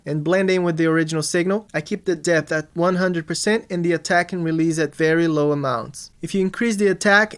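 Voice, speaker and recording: A man's voice: sits high, clean tone. A man narrating an instructional music production tutorial, explaining things step by step.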